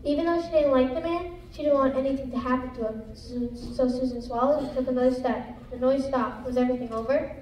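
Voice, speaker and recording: A woman's voice: high-pitched, fairly even intonation, brisk, a little mellow. A female student narrator reading a scripted story aloud in a reader's theater show. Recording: echoey room, distant mic, background noise.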